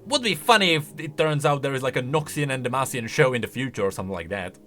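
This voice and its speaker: A man's voice: medium pitch, lively intonation, quick pace, fairly bright tone, soft background music. A man giving casual, wry, opinionated reaction commentary as a video game lore commentator.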